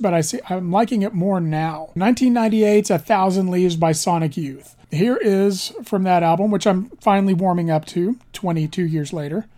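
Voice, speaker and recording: A man with a raspy voice from pollen, hosting a music show in a rambling, chatty, self-deprecating way. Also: sits high, a little mellow. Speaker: radio show host. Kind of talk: casual music show talk.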